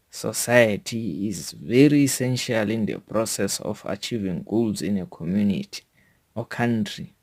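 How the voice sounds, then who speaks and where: A South African man reading a scripted educational monologue in a deliberate, formal manner, as a podcast host. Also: on the low side, darkish tone.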